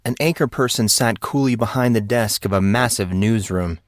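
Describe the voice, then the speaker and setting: A man's voice: fairly low pitch, normal speed, no room sound, studio quality. A man reading a scripted story aloud as a dramatic audiobook narrator.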